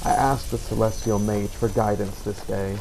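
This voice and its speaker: Man voicing a low, gravelly, solemn wandering warrior. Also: flat delivery. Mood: solemn, brooding. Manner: measured, formal, literary. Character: solemn devout male Redguard warrior hunting the undead. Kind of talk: in-character journal narration over a video game. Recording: noticeable background noise.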